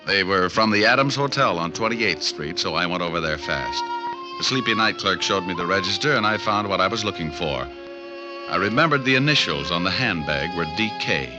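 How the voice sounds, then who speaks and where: An actor playing a wisecracking tough private eye in a 1940s radio drama, narrating and sparring dryly. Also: male voice, deep voice, lively intonation, a little fast. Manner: dry wisecracking narration and dialogue. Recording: dull old radio recording with music.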